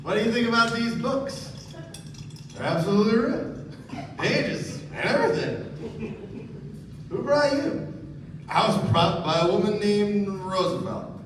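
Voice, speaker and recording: A man's voice: sits high, lively intonation, unhurried, long pauses. An actor playing a stout, tipsy middle-aged man who rambles in amazement, in a stage radio play. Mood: drunken wonder. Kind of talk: live radio play acting. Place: theatre stage before an audience. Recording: echoey, noisy stage recording from far off.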